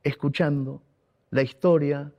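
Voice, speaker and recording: A man's voice: fairly high pitch, fairly even intonation, a little slow, a little mellow, muffled recording. A man from Argentina with an Argentine accent, telling a personal story in an emotional, emphatic way, repeating words for weight. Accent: Argentine Spanish. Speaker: radio contributor and writer.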